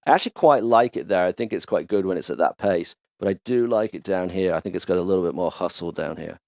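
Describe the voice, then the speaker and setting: Gravelly low-voiced man demoing synths. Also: fast. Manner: relaxed, chatty, enthusiastic demonstrator. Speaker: music production YouTuber. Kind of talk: casual tutorial walkthrough. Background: instrument demo music.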